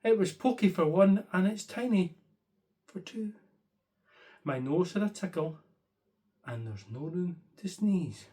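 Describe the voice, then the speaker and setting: A man's voice: high voice, animated, unhurried, pauses often, dark tone. A man voicing a fretful, grumbling little old lady in a bedtime story, pleading and exclaiming plaintively. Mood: exasperated.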